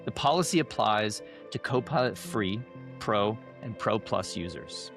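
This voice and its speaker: A man hosting a podcast, delivering a scripted monologue while sick and losing his voice, measured and emphatic. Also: on the low side, expressive, music in the background. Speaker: podcast host.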